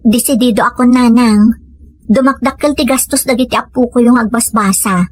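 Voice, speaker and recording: A woman acts out a plain-spoken rural Filipino wife and mother in an audio drama. Her voice is high and a little husky, full of feeling, in a roomy echo with faint music behind. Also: moderate pace, natural voice. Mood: earnest, concerned. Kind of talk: Ilocano audio comedy drama acting.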